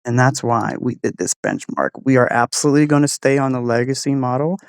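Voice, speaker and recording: A man's voice: medium pitch, brisk, creaky, smooth, no room sound, clean studio sound. A man giving casual spoken commentary as he hosts a technology test. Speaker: host running an AI voice test.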